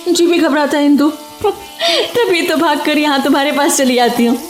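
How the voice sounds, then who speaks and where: A lonely, friendly housewife in a radio play, with a notably high voice. She chats and coaxes expressively. The recording is roomy, with music around the voice. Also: moderate pace. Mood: chatty, plaintive. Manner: expressive, chatty, coaxing. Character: talkative, friendly, lonely housewife, doctor's wife. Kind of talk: Hindi radio play acting.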